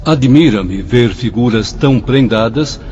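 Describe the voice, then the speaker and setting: An actor playing a proud, skeptical professor in the spirit world, in a radio drama with a muffled sound. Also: male voice, medium pitch, animated, a little slow, music in the background, natural voice. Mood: questioning. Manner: questioning, slightly vain.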